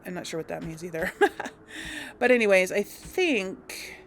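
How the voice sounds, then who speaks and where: Slow, gravelly woman chatting through gameplay. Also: on the low side, a little hiss or hum. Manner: chatty and unsure, thinking aloud with many ums. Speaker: let's play host. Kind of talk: casual gameplay commentary.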